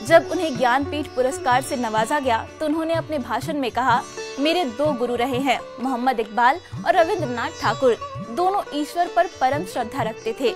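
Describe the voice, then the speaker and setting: Female documentary narrator, high voice, polished storytelling read, sitar music clearly underneath. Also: normal speed. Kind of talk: scripted narration.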